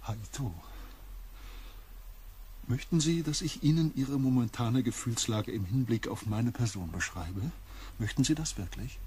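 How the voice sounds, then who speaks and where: A proud, bitter empath in a science fiction radio play. The voice is extremely husky and sits at a middling pitch, with precise, confrontational delivery. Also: male voice, a little slow, pauses often, warm tone. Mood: bitter, challenging. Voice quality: husky, rough. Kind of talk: audio drama acting.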